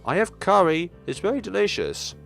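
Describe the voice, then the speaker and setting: A deadpan, curious teenage schoolgirl from a visual novel, acted out by a man. She is blunt and given to rambling musings, with a lively pitch over faint music. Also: normal speed, creaky, heavily acted.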